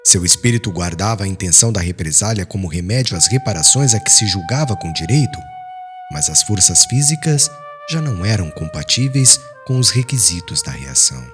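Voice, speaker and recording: Solemn man narrating with lively intonation. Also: deep voice, fairly quick, music in the background. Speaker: audiobook narrator. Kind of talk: dramatic scripted literary narration.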